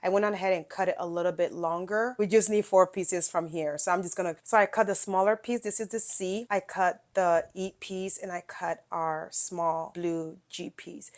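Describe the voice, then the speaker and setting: A middle-aged woman hosting a casual quilting tutorial, a bit nasal from a cold, talking viewers through each step in a chatty way. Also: fairly low pitch. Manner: chatty, explains by showing, talks straight to viewers. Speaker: quilting video host.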